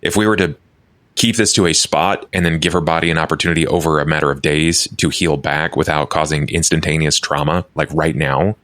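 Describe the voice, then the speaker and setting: A man's voice: very deep voice, steady tone, fairly quick, fairly bright tone, dry recording. A man playing a tabletop role-playing game, talking conversationally as he asks questions and proposes plans.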